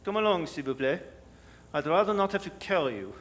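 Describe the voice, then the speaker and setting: Suave, high-voiced French villain. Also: male voice, big pitch swings, fairly quick, fairly bright tone. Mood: smug. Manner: courtly, verbose, sardonic. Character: suave, scheming French male villain, greedy and smug. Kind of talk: staged radio drama performance. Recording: live hall, long reverb, noisy.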